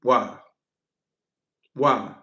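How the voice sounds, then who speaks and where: A man's voice: mid-range voice, extremely slow, sharp tone, echoey room, muffled recording. A man in his forties hosting a casual livestream, loose and playful, repeating himself and thanking viewers for gifts. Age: 40s. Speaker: livestream host.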